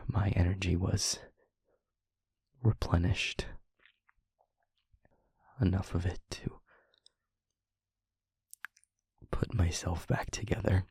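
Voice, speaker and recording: A low, gravelly demon played by a man confesses in a very breathy half-whisper. He is wounded and haunted, tender in his sorrow, letting each short phrase fall slowly and solemnly, as in an intimate audio roleplay. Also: dark tone, no room sound, exaggerated voice. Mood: hushed, haunted. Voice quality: breathy, husky near-whisper with gravelly edge. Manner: slow, halting, solemn delivery in short phrases.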